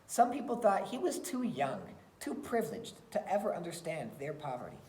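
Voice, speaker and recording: A woman reading a children's picture book aloud in an expressive storytelling style. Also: fairly low pitch, normal speed, slight background noise. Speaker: read-aloud reader. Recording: roomy, echoey sound.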